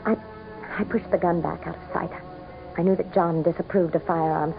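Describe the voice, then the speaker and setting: An actress playing a proud, scornful governor's wife in a radio drama, with a haughty, melodramatic manner. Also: female voice, lowish voice, steady tone, normal speed, creaky, muffled recording, music in the background. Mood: bitter narration. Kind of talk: 1970s-style radio drama acting. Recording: thin, band-limited old radio broadcast.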